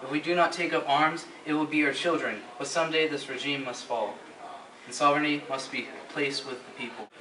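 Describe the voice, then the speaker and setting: Man acting bright-voiced resolute revolutionary, echoey room. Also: fairly high pitch, steady tone, brisk. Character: resolute, fervent French revolutionary plotter. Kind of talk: amateur stage-play acting. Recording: distant echoey amateur recording, faint noise.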